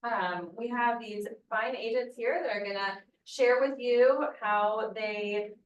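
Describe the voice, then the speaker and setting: A woman's voice: on the high side, slow, lo-fi sound. A woman moderating a real estate agents' panel in an upbeat, joking way. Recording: echoey room, distant mic, faint noise.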